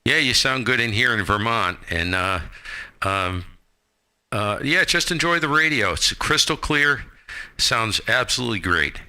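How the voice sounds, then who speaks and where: A man's voice: mid-range voice, lively intonation, measured pace, pushed and bright, smooth. A man hosting a ham radio live stream, chatting casually with other operators on the air.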